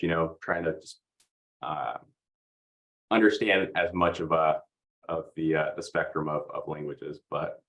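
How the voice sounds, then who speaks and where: A man's voice: very deep voice, a bit bright. A young man from Oregon, a podcast guest chatting casually with many fillers. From United States, Oregon. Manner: easygoing, hesitant with many fillers. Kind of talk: casual interview chat.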